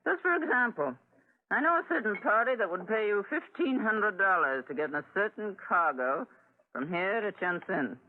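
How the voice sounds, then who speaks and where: A woman's voice: mid-range voice, expressive, normal speed, lightly acted. A brash middle-aged woman who runs a dockside bar, acted by a woman in a 1940s radio drama. She is nosy and coaxing, with theatrical, widely swinging intonation. The sound is a thin, narrow old broadcast. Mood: coaxing, scheming. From United States. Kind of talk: 1940s radio adventure acting. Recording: tinny 1940s radio recording.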